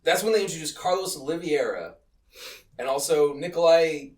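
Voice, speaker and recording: A young man hosting a casual film-review chat, talking in an excitable, profane, ranting way. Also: on the high side, normal speed. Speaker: podcast host. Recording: roomy, distant from the mic.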